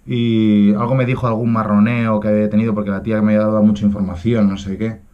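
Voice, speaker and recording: A man's voice: fairly low pitch, level tone, fast talker, phone-quality sound. A man in his thirties with an accent from Spain, chatting casually as a prank caller. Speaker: prank caller and video host. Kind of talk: casual prank phone chat. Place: small tiled room indoors.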